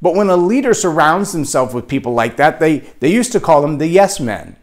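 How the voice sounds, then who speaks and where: Animated Texan man giving a leadership talk. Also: fairly high pitch, brisk. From Texas. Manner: animated, acts out quoted voices. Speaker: leadership speaker. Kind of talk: motivational talk to camera.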